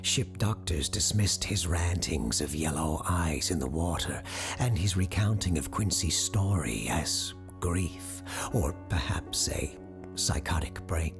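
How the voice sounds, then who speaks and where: Woman acting as Dr. Ryder, very deep, slow host. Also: lively intonation, heavily acted. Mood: solemn, eerie. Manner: measured, solemn, deliberate. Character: Dr. Ryder, grave supernatural radio show host and storyteller. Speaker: host of a supernatural advice radio show. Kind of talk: scripted audio drama narration. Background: music under the voice.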